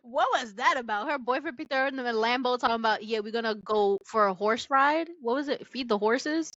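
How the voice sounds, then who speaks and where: A woman's voice: on the high side, fairly quick, fairly bright tone, no echo, lo-fi sound. A woman chatting casually as a podcast co-host, with a slangy, playful and opinionated way of talking. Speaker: book club podcast co-host. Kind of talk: casual chat.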